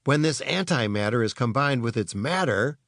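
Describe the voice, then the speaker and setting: Storybook boy inventor, read by a man: eager, emphatic explainer with very animated pitch, closely recorded. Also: moderate pace, no echo, studio quality. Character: earnest, excitable boy inventor explaining science.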